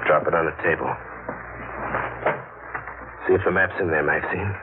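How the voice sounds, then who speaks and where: A man's voice: very deep voice, steady tone, moderate pace, very noisy. A man playing a hardboiled, sardonic killer who tells his story in old radio crime style. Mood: menacing. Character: hardboiled, sardonic male killer narrating his treasure hunt. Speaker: lead actor and first-person narrator. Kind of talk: 1950s radio crime acting, hardboiled narration. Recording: muffled, narrow-band 1950 radio with music.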